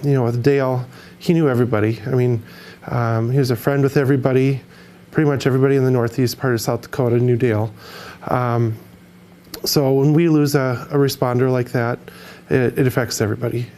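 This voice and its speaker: An American man speaking plainly and haltingly in a news interview as a first responder. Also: mid-range voice, fairly even intonation, mellow.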